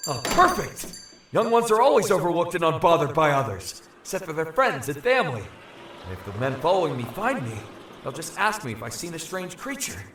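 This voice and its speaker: An actor playing an earnest, wary young shapeshifting creature in an audio drama, thinking aloud in a naive, formal way. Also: male voice, fairly high pitch, sing-song, brisk, a bit bright, echoey room, recorded from a distance, slight background noise. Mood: scheming, relieved. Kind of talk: audio drama acting with inner-thought narration.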